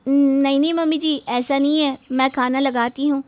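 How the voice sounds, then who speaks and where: A woman's voice: sits high, fairly even intonation, moderate pace, noisy background, natural voice. A woman playing a quarrelsome, indignant younger daughter-in-law in a story, whiny and argumentative with exaggerated delivery. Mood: placating. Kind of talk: animated Hindi moral story dubbing.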